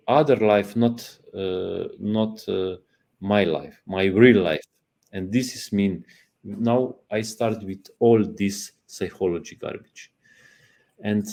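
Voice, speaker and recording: Middle-aged man, slow and gravelly, halting answers with lots of fillers. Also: fairly low pitch, warm tone. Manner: halting, searching for words, many fillers. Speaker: guest, profiling institute founder. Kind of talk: interview answers.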